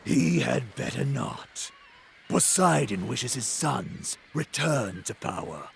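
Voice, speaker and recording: An actor playing a menacing, scheming cyclops villain in a video game cutscene, with slow, pompous, contemptuous delivery. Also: male voice, mid-range voice, sing-song, gravelly, a little hiss or hum, full character voice. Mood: scornful scheming. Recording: game audio with music underneath.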